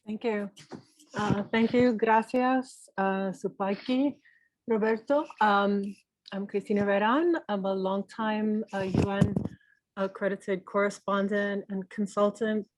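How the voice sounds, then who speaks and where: A woman from Peru giving an earnest, reflective tribute at an online memorial, pausing and restarting as she speaks. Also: medium pitch, steady tone, slight background noise, rough recording. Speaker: journalist and consultant speaking at a memorial. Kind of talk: spoken tribute on a video call. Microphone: video call microphone.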